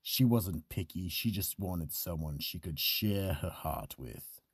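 Male narrator for mystery radio: extremely low, husky, solemn, in a dry close studio sound. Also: expressive, takes it slowly, no echo. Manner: solemn, ominous storytelling. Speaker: narrator and host.

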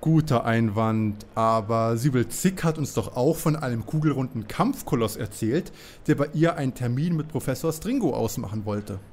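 A man's voice: medium pitch, moderate pace, a little mellow, slight background noise, lightly acted. An actor playing an eager, polite young male detective in a parody audio drama. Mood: matter-of-fact.

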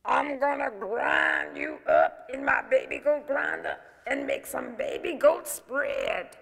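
A woman doing a gravelly, menacing old troll. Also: a little high, huge pitch swings, slow pace, a bit bright, in a large hall, pitched above the performer's own voice, exaggerated voice. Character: wicked hungry old male troll. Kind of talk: storybook reading.

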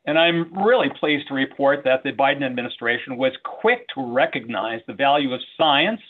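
Older man, institute director type, formal prepared remarks, mid-pitched voice, thin band-limited call audio. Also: expressive, normal speed, narrow, tinny audio. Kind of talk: prepared presentation to a council meeting. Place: small office, indoors, on a video call.

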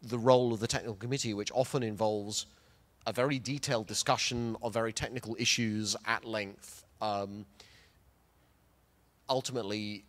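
A man originally from the Netherlands explaining his reasoning as a panelist in a large lecture hall. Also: fairly low pitch. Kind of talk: panel discussion. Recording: reverberant hall.

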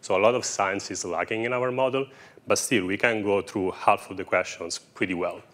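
A man's voice: on the low side, moderate pace, fairly bright tone. A man in his thirties co-presenting as an AI researcher, explaining technical results on stage with a lapel mic. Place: indoor stage in a brick-walled event room before an audience.

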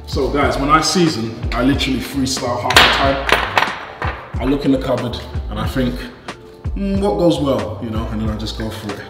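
A man's voice: medium pitch, moderate pace. Easygoing man cooking in a roomy kitchen. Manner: easygoing, jokey, enthusiastic about food. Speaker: host of a cooking video. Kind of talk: casual cooking demonstration. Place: large indoor kitchen studio. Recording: echoey room with loud music behind.

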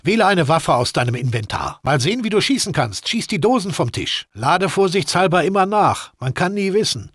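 A man's voice: high voice, very animated, moderate pace, few pauses, fairly bright tone, slightly rough, no room sound, clean studio sound, full character voice. A man voicing a matter-of-fact, middle-aged mafia weapons man in a video game, with an instructive, streetwise manner.

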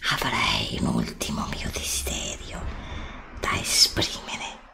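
A cruel witch queen acted by a woman hisses her commands in a whisper for an ASMR fantasy roleplay. She is impatient and menacing, with sharp, swooping delivery. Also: extremely low pitch, sing-song, slow pace, gravelly, pitched below the performer's own voice, full character voice.

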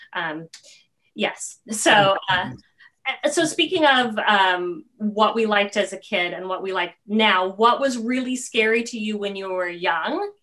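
A middle-aged woman hosting a casual video-call interview, speaking in halting, emphatic phrases. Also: on the high side, fairly bright tone. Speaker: host, interviewer. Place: indoor home room, on a video call.

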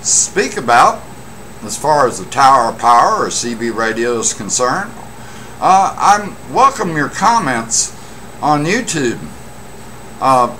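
A man's voice: fairly high pitch, bright, forceful tone. A middle-aged American man talking casually to a webcam in a room at home, emphatic and boastful like a proud hobbyist. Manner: emphatic, boastful, deliberate phrasing. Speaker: CB radio hobbyist vlogger. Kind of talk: casual monologue to camera. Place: small indoor room at home. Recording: noisy home webcam recording.

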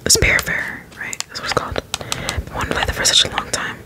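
A woman whispering soft, rambling ASMR commentary over gameplay in an unhurried way. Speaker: let's play streamer.

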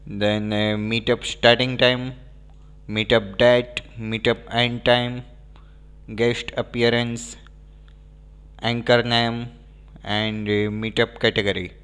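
A man with an Indian English accent giving a screen-recorded software walkthrough, pausing often with fillers. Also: medium pitch, flat delivery, smooth. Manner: hesitant, frequent filler pauses. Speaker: software demo presenter.

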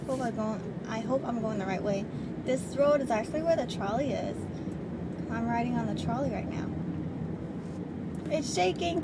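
Chatty young woman vlogging from her car. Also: a little high. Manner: chatty, rambling, with many fillers and restarts. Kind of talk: casual chat while driving. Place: inside a small car. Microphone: handheld camera. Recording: loud car noise, shaky camera mic. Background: car and road noise.

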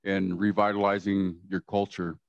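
A man speaks from the audience with a friendly greeting. His voice is low and flat in pitch. The sound is dry. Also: normal speed, creaky, dry recording, highs cut off. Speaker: audience member.

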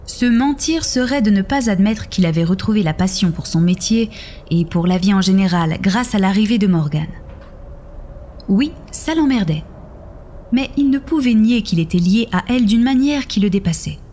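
A woman's voice: mid-range voice, expressive, moderate pace, mellow, a little hiss or hum. A woman narrator reading scripted fiction in an audio drama. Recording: music under the voice.

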